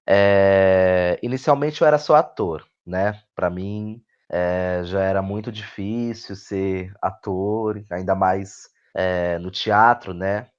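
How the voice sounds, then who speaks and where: A man with a Brazilian accent answering in a conversational interview, reflective and halting, often adding little tag questions. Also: low-pitched, clean tone, dull audio. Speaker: guest playwright and actor. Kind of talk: conversational interview answers about own work.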